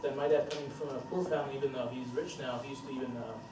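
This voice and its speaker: A man from Saudi Arabia speaks on a panel, hesitant and heavy with fillers. His voice comes from a distance with a lot of echo. Clear background noise lies underneath. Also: medium pitch, fairly even intonation, rapid-fire. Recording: echoey hall from far off, noisy.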